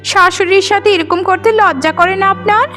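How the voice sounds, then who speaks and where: A woman's voice: very high voice, steady tone, fairly quick, a bit bright, faint music behind. A woman voicing a conniving, meddling young sister with an exclamatory, accusing manner in a melodramatic cartoon. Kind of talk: melodramatic cartoon moral-story voice acting.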